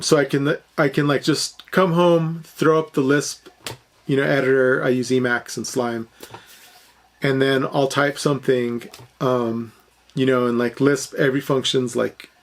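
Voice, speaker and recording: A man giving a casual, unscripted explanation as a programming video host, halting often with lots of fillers as he thinks aloud. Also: medium pitch.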